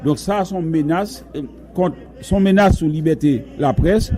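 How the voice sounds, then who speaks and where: A man's voice: on the high side, a little slow, dark tone. A man with a Haitian accent giving an emphatic press conference statement as a human rights director, repeating his key phrases.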